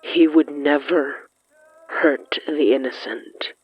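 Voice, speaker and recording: A narrator reading scripted horror fiction in the third person, brooding and dramatic, with lingering pauses. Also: mid-range voice, fairly even intonation, slightly rough, no echo. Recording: muffled lo-fi sound with music underneath. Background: music.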